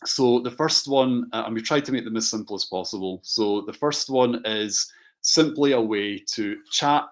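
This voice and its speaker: A man's voice: medium pitch, normal speed. A man speaking as a company director presenting a product, in a conversational, hesitant way with repeated words.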